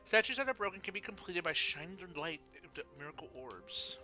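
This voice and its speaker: Higher-voiced man streaming a video game. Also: sing-song, fairly quick, bright, forceful tone, soft background music. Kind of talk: gameplay commentary, reading game text aloud.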